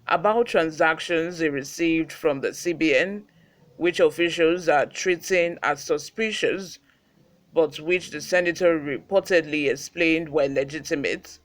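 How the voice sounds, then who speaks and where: A man's voice: a little high, fairly bright tone. A man with a Nigerian accent, hosting a news channel with read reports and informal commentary.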